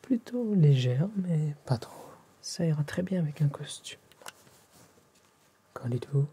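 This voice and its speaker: A man doing a soft-spoken, half-whispered ASMR tailor roleplay in a polite, formal, attentive manner. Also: on the high side, animated, unhurried, slightly rough.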